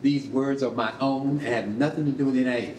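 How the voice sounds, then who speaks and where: A man's voice: mid-range voice, steady tone, a little fast, crowd noise. An older man giving informal remarks as a guest at a live event. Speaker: guest, retired project officer. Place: indoor rehearsal hall, on stage. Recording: echoey room, faint background noise.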